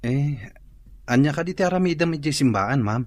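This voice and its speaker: A man's voice: fairly high pitch, expressive, a little fast, a little mellow, no room sound, close to an everyday voice. A man playing a cheeky but respectful family driver in an audio drama, deferential with a teasing streak. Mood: puzzled, teasing. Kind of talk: melodramatic audio drama acting. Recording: clear vehicle noise behind.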